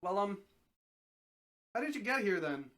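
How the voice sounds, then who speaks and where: Man playing curious, incredulous storybook man, slightly raised pitch. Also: very animated, a little slow, sharp tone, heavily acted. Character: curious, incredulous man questioning a talking snake. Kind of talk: dramatic storybook reading.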